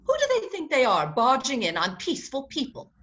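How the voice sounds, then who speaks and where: A proud, sharp-tongued young society bride acted by a woman in a radio comedy. The voice is high and bright, swinging widely in pitch. The delivery is imperious and theatrical. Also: wildly swooping pitch, brisk, full character voice. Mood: indignant, cutting. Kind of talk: 1940s radio comedy acting.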